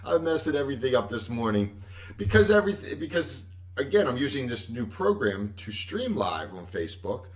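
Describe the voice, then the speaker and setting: A loud-voiced man chats casually as host of a livestream, rambling along and chuckling as he corrects himself. His voice moves up and down in a lively way. He sits back from a webcam mic in an echoey home room. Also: mid-range voice, fairly quick, far from the mic.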